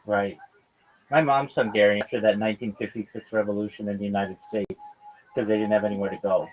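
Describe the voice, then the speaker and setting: A man's voice: on the low side, steady tone, a little fast, low-quality recording. A man hosting a livestream, chatting casually with a caller, reacting briefly and asking easy questions. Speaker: livestream host. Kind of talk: casual chat.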